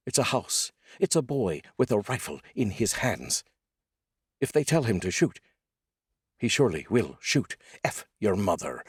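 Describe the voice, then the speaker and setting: A man with a husky voice and a wry, deliberate way of phrasing, hosting and reading poetry. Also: on the low side, no room sound, clean studio sound. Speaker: host of a poetry reading series, voice actor. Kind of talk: informal spoken intro and poetry reading.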